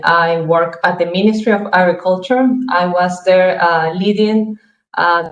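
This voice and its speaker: A middle-aged woman speaks over a video call and runs through her career, often stopping on small hesitations. Her voice carries the echo of a bare room. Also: fairly low pitch. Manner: lists credentials with frequent hesitations. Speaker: panelist, crop insurance manager. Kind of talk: self-introduction on an online panel. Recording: echoey room.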